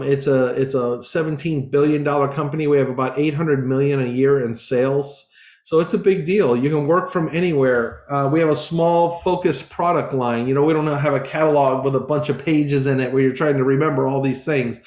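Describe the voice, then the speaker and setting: A man in his forties gives an earnest, informal sales pitch as a business coach, often stopping and restarting. His voice sits a little higher than most men's. The room around him rings with echo. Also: a little mellow. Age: 40s. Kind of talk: casual sales presentation.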